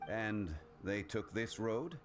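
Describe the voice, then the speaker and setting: An actor playing a composed, erudite gentleman in a video game, speaking in a formal, measured manner. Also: fairly low pitch, lively intonation, over music. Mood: pensive. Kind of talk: English-dubbed video game dialogue.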